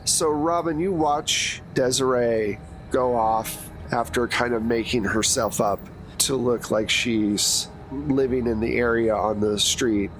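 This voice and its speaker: Man, game master, unhurried narration, relaxed, middle pitch, noisy background. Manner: relaxed, descriptive, frequent "like" fillers.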